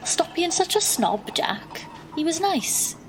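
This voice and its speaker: A woman's voice: extremely high pitch, big pitch swings, slow, noisy background, full character voice. An actress playing an exasperated, wry young woman, narrating and talking in an audio drama. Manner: dry, sarcastic.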